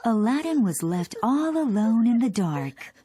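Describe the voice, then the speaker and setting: A woman narrating a scripted children's fairy tale in an expressive storytelling manner. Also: on the high side, unhurried, a little hiss or hum.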